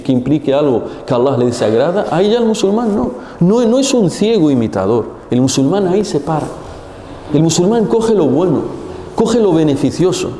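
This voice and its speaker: A man giving an Islamic religious lesson, animated and full of rhetorical questions. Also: fairly high pitch, a little hiss or hum. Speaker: religious teacher. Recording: echoey hall with long reverb.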